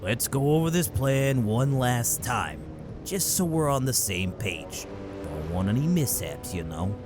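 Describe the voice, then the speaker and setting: A man playing a gruff, wary smuggler ship pilot with clipped seafaring talk in a fantasy audio drama. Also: mid-range voice, lively intonation, measured pace, natural voice. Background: music under the voice.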